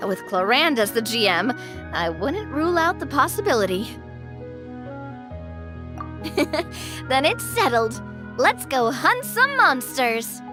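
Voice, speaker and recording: Brief: confident young female hunter, high pitch, teasing game-character delivery, faint music behind. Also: sing-song, takes it slowly, pauses often, exaggerated voice. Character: playful, confident young woman monster hunter. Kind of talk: video game voice acting.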